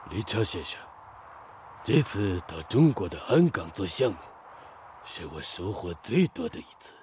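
An actor playing a formal, probing Japanese engineering expert in a radio drama. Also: male voice, sits low, very animated, measured pace, a little mellow. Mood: respectful. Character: Japanese male engineering expert, astonished then respectful. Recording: clear background noise.

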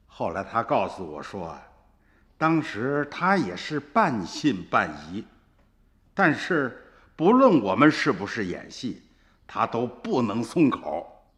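A man's voice: mid-range voice, big pitch swings, takes it slowly, fairly bright tone. An actor playing a stern, wily older villager, an uncle and grandfather, in a radio drama with emphatic, exclamatory rustic speech. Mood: calm, recounting. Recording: reverberant studio drama with music.

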